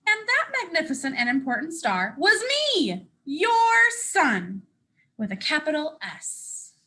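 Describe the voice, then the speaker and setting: A woman acts out a cheeky, self-important sun from a children's storybook. The voice is high and bright, leaping up and down with theatrical emphasis as it brags about itself. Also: exaggerated voice. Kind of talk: children's picture book read-aloud.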